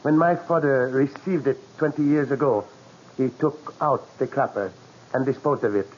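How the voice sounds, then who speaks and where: An actor playing a grave, superstitious curio seller in a 1940s radio mystery, speaking slowly and formally with halting phrases. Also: male voice, mid-range voice, close to an everyday voice. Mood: solemn, ominous. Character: grave, superstitious male curio seller guarding an ominous legend. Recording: dull, noisy old 1940s radio recording.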